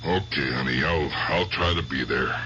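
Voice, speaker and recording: An actor playing an aging, guilt-ridden gangster in a radio drama, with rough, halting American speech. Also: male voice, a bass voice, pushed and bright. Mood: evasive, fond. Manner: rough colloquial speech, halting, muttering to himself. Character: aging guilt-tormented gangster, gruff but fond uncle. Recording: dull, noisy old radio drama recording.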